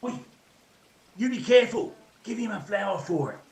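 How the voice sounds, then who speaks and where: A man playing a cheeky, matey street bystander in a staged play reading, loud and informal, heard from off in an echoey room. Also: high-pitched, lively intonation, normal speed, gravelly. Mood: teasing, warning. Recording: echoey room, far from microphone.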